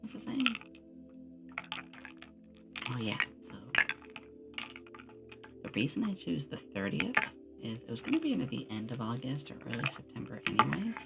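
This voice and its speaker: A woman's voice: very deep voice, sing-song, normal speed, creaky, long pauses, over loud music. A woman chatting casually on an ASMR livestream, rambling with playful asides, close on a binaural microphone. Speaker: streamer and game master.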